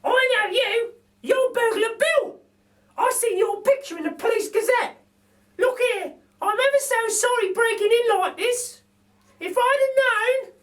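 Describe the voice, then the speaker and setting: A man voicing a chatty, excitable working-class lady burglar with exclaiming, colloquial speech in a children's storybook. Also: very high voice, unhurried, pitched above the performer's own voice. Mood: flustered, apologetic. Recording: distant microphone.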